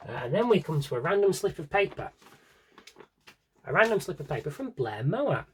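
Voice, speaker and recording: A man's voice: high-pitched, sing-song, brisk, slight background noise. A man giving a casual, rambling show-and-tell to viewers, chatty and enthusiastic, drifting into asides to himself. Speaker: video host showing a personal CD collection.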